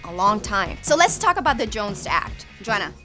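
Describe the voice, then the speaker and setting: A woman's voice: mid-range voice, big pitch swings, moderate pace, pushed and bright, faint music behind. A woman with a Puerto Rican accent, a guest explaining in earnest, building emphasis. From Puerto Rico. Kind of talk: scripted comedic explainer.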